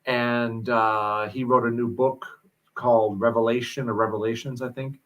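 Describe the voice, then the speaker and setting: Guy guesting on a talk show, thinks out loud, lots of uhs and ums, middling voice. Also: medium pitch, level tone, dull audio. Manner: thoughtful, halting, many fillers. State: mild headache. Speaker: guest commentator. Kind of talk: casual discussion.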